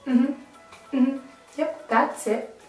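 A young woman with a fairly high voice chats casually and jokes at her own expense while doing her makeup. She is recorded from a little way off in a small tiled bathroom that rings with echo. Soft music plays faintly behind her. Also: steady tone, drawn-out words, far from the mic. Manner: playful, self-mocking, laughs often. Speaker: beauty vlogger. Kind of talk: casual makeup vlog chat. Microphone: camera mic at arm's length. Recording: echoey bathroom, light background music.

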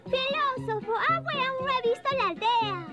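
A woman's voice: extremely high pitch, lively intonation, moderate pace, lo-fi sound, loud music behind the voice. A woman playing a small, cheerful, eager young gnome in a cartoon. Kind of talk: cartoon character acting.

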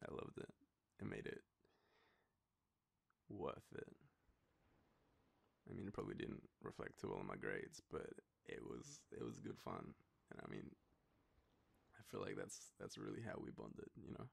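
A young Australian man playing an affectionate, nostalgic boyfriend in an intimate roleplay, talking to the listener in a halting, teasing way. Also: extremely low pitch, very animated, creaky, slightly rough, voice pushed down, exaggerated voice. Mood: fond, amused. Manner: hesitant, soft chuckles, halting pauses. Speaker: audio roleplay performer.

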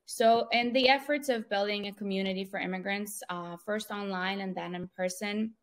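A woman, answering interview questions in a measured way with many fillers, over a video call. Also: mid-range voice, steady tone. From Ukraine, raised in Israel. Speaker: podcast guest. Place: small room at home. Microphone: wired earphone mic on video call.

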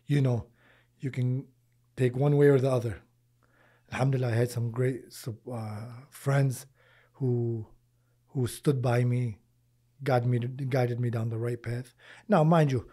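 A man in his forties speaks earnestly into a close studio mic in a small podcast room. His voice is warm and a little husky, and he often circles back over his words while gathering his thoughts. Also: mid-range voice, fairly even intonation, a little slow. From Lebanon, raised in Michigan, US. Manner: earnest, repeats words while thinking, uses Arabic religious phrases. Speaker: podcast guest, charity founder. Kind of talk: casual interview conversation. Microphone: boom-arm studio mic.

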